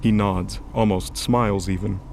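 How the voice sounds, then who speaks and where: A man's voice: fairly low pitch, fairly even intonation, moderate pace, darkish tone, a little hiss or hum. A man narrating a scripted fantasy audio drama as its storyteller. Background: music bed.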